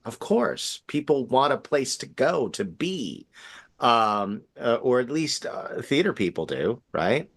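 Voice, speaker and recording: A man in a casual panel conversation, talking in halting bursts and often checking for agreement. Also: mid-range voice, sing-song. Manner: halting, seeks agreement with frequent "right?".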